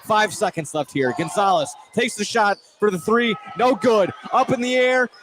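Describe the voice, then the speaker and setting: A man doing live play-by-play basketball commentary on radio, excitable, sometimes tripping over and repeating his words, in a high school fieldhouse. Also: normal speed, a little hiss or hum. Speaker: play-by-play sports announcer. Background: loud thumping music.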